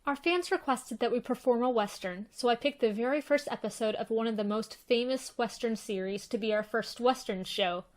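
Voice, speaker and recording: Higher-voiced woman introducing a show. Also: moderate pace, few pauses. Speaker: director introducing the episode. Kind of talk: scripted introduction.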